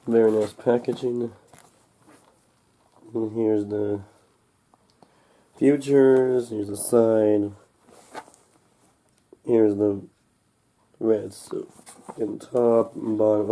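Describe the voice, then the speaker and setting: A young man with a slightly congested, cold-recovering voice talks casually and haltingly, muttering to himself as he hosts an unboxing. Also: fairly low pitch, steady tone, warm tone. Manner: halting, muttering to himself while handling packaging. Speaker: unboxing video host. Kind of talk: casual unscripted product unboxing talk. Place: indoors at home, over a table. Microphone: camcorder microphone close above the table.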